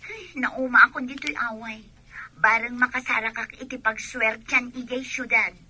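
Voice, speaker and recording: High, husky, stubborn young village dreamer. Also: female voice, fairly even intonation, moderate pace, pushed and bright. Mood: determined. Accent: Ilocano. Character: ambitious, stubborn young village woman longing for city life. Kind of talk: radio drama acting.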